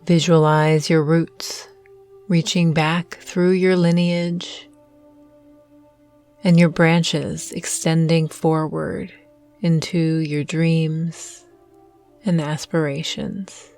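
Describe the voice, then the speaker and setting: Slow, low-pitched woman guiding meditation. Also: flat delivery. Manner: soothing, gentle, measured with long pauses. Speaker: meditation guide. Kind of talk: guided meditation narration. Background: soft meditation music.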